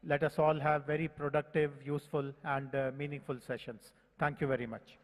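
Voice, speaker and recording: A man's voice: fairly high pitch, flat delivery, fairly quick. A man in his fifties with an Indian accent, giving a formal welcome address as an official at a podium. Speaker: government official speaker. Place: large conference hall, at podium. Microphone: podium gooseneck microphone. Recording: reverberant hall public address.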